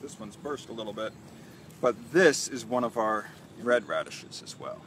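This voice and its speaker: A man's voice: lowish voice, expressive, moderate pace, fairly bright tone. A man in his thirties, a farm manager, cheerfully explaining vegetables while walking through an outdoor garden, filmed on a phone. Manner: enthusiastic, explanatory, walking and pointing at plants. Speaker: urban farm manager giving a tour. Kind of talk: live guided tour. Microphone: phone camera a few feet away. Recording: outdoor phone recording with noise.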